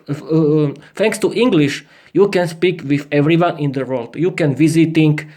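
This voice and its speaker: Slow, halting Slovak man, higher-pitched voice. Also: a little mellow. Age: 30s. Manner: halting, hesitant, repeats and restarts phrases in learner English. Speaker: podcast host. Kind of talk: improvised rambling monologue for language learners.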